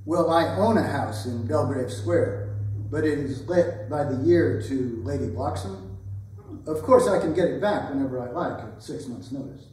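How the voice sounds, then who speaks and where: A man playing a polite, earnest and flustered gentleman suitor in a staged period comedy reading, formal and apologetic. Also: mid-range voice, animated, moderate pace, a little hiss or hum, natural voice. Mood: politely nervous. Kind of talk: theatrical readers' theatre performance of a period comedy. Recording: echoey hall, distant microphone.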